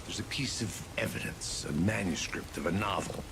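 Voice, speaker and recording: An actor playing a grim, hard-boiled detective who talks in terse noir narration. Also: male voice, low-pitched, normal speed, creaky, background noise.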